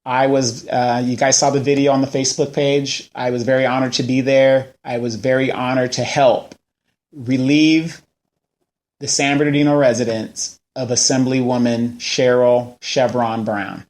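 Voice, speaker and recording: A man's voice: medium pitch, little pitch movement, moderate pace, a little mellow. A man in his forties hosting a local news show, ranting in a casual way and firing off rhetorical questions. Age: 40s. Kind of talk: casual political commentary.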